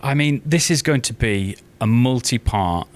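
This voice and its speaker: A man's voice: medium pitch, expressive, measured pace. A man speaking enthusiastically and conversationally, as a guest writer and performer in an interview. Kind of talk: conversational interview about a creative project.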